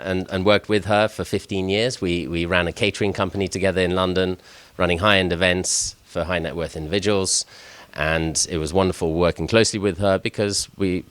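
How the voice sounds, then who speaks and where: Low-voiced man telling his life story. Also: fairly bright tone, clear, resonant tone, no echo. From Switzerland. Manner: hesitant, repeats words when starting sentences. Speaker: chef, guest speaker. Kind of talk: personal story talk.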